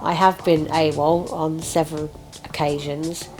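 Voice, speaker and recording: A woman's voice: deep voice, little pitch movement, a little slow. A middle-aged woman telling a casual anecdote in an interview. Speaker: mental health service user interviewee. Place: indoor room.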